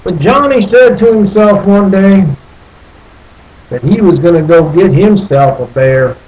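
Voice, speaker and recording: A man reading a picture book aloud as a storyteller, deliberately, with questions and asides to his young listeners. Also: high-pitched, expressive, a little mellow, muffled recording. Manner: deliberate, asks the listeners questions and repeats phrases.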